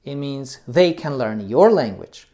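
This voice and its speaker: Mellow-voiced man chatting about teaching. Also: mid-range voice, expressive. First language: not English. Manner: loose and chatty, many ums and uhs, quick laughs. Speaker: English teacher. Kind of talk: casual talk to camera about teaching.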